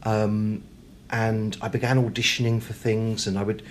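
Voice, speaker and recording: British man, interview guest, talkative, halting word repeats, self-deprecating, noticeable background noise. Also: fairly low pitch, level tone. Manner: hesitant, with repeated words and occasional stammering. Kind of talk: casual interview conversation. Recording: clear background noise, room ambience.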